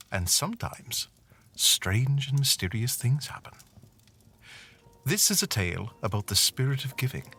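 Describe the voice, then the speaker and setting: A man playing a storybook narrator, telling a scripted holiday tale in a deliberate, dramatic way. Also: lowish voice, a bit bright, husky, full character voice.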